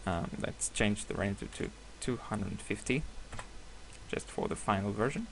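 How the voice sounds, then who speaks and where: Gravelly man with a Hebrew background. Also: lowish voice, measured pace, a little hiss or hum, rough recording. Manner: hesitant, many ums and uhs, self-correcting; apologetic, laughs at himself. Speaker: software tutorial instructor. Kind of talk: screen-recorded software tutorial.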